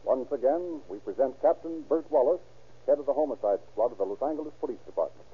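A man doing formal, declamatory scripted announcing and narration in a 1930s radio style, with measured phrasing. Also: mid-range voice, over loud music. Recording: tinny 1930s radio recording.

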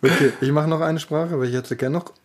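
A man's voice: a little high, fairly even intonation, a little fast. Playful man in casual chat. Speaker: podcast co-host.

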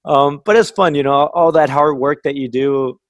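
A man's voice: a little high, normal speed, dry recording. Friendly chatty man, dry close sound. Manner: friendly, chatty, laughs often. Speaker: winery owner and interviewer. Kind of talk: casual conversational interview.

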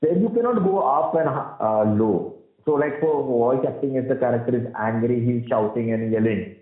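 A man with a husky, heavy voice, explaining at length as an interview guest, with many fillers and restarts. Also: mid-range voice, normal speed. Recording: roomy, tinny phone-like sound.

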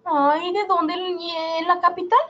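A man's voice: very high voice, little pitch movement, takes it slowly, pushed and bright, lo-fi sound, pitched above the performer's own voice, full character voice. A man voicing a fretful, doubting wife who whines and scolds fondly in a comic radio drama. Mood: worried. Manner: whining, scolding, affectionate diminutives.

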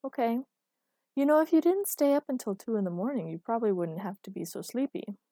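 A woman's voice: fairly high pitch, expressive, fairly quick, mellow, dry recording, clean studio sound, voice pushed up. A woman voicing an exasperated, bossy boyfriend in audiobook dialogue, firm, nagging and long-suffering. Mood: patient, chiding. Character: exasperated bossy responsible boyfriend, a working man.

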